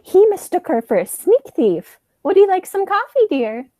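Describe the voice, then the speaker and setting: A fussy, motherly old aunt played by a teenage girl in an amateur radio play. The voice is high and very sing-song, with a mellow tone and a gently scolding way of talking. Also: very animated, moderate pace, no room sound, studio quality.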